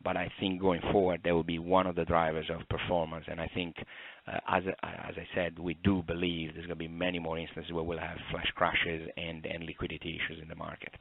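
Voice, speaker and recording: A man's voice: deep voice, completely flat delivery, a little fast, creaky. A man presenting as a fund manager to investors on a conference call, explaining assertively with emphatic repetition. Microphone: conference call line. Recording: muffled phone line with roomy echo.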